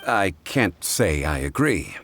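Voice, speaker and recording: A man voicing a calm, learned and courteous gentleman in a video game cutscene, reasoning in a measured, polite way. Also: low-pitched, slight background noise, heavily acted.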